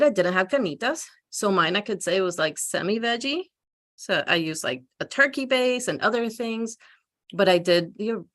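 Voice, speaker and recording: A woman panelist telling personal stories in a casual, animated, informal way. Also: medium pitch.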